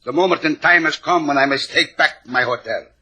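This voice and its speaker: Husky sharp voice, excitable evasive hotelier, flowery, theatrical, old radio drama. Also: male voice, on the high side, brisk, heavily acted. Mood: evasive, flustered. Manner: flowery, theatrical, speaks of himself by name. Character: florid, excitable, evasive Cuban hotel keeper. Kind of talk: 1950s radio drama acting.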